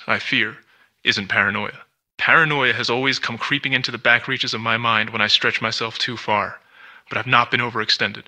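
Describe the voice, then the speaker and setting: An actor playing a guarded, paranoid scientist in a video game, speaking in formal, grave, reflective monologue. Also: male voice, medium pitch, bright, forceful tone.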